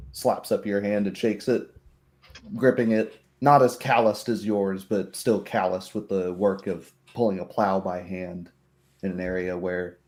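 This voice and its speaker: A man narrating a tabletop roleplay as game master, deliberate and descriptive, pausing between phrases. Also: lowish voice, dark tone.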